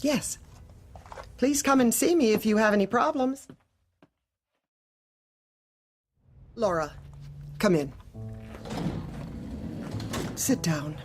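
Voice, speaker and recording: A woman's voice: fairly high pitch, sing-song, slow, pauses often, soft background music. A woman playing a manipulative, officious corporate manager in a darkly comic audio drama, speaking with bland politeness and veiled menace. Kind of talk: audio drama acting, dark comedy.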